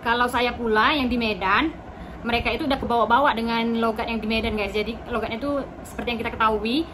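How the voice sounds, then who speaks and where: A woman's voice: fairly high pitch, steady tone, quick pace. A woman from Indonesia chatting to her viewers in a casual explainer vlog. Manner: chatty, addresses viewers as guys. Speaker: vlogger. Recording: noisy recording.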